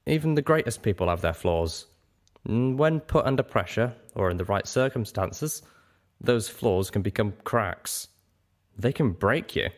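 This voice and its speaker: A man's voice: on the low side, animated, measured pace. A man voicing a huge old male dragon in a story reading, earnest and nostalgic, with a reflective, emphatic manner.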